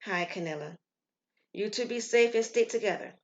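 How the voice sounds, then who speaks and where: A woman's voice: mid-range voice, moderate pace, close to an everyday voice. Woman playing a tender, worried mother. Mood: caring, firm. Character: worried, tender mother of a sick young daughter.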